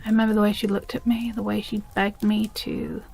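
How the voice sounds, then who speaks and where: Woman acting a gravelly, obsessive British stepsister. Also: mid-range voice, flat delivery, fairly quick, a little mellow, slight background noise, close to an everyday voice. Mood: anguished, unhinged. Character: obsessive, possessive yandere stepsister, unstable and menacing. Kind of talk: audio roleplay, dramatic monologue to the listener.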